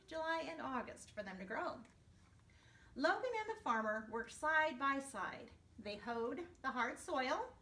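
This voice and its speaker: A woman's voice: a little high, pushed and bright. An older woman, a first grade teacher, reading a storybook aloud to young children expressively, with explanatory asides. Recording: echoey room, distant microphone.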